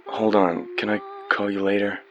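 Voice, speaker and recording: A man's voice: fairly low pitch, flat delivery, normal speed, lo-fi sound, over music, close to an everyday voice. A man playing an earnest, lovestruck young painter in a radio play, plain-spoken and sincere. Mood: awkward, hurt. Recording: faint background hiss.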